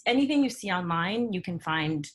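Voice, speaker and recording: Low-voiced woman from Ghana. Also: expressive, normal speed, a little hiss or hum. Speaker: retail co-founder. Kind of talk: panel discussion on a video call.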